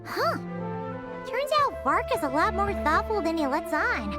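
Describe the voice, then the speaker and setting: Tiny squeaky kid-like game mascot girl, super high n bouncy, chatty, curious, loud music under it. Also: sing-song, a little slow, full character voice. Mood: pondering. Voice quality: squeaky, childlike. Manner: refers to self in third person, exclamatory. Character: tiny childlike female floating companion, chatty, curious, easily startled. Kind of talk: anime-style video game dialogue.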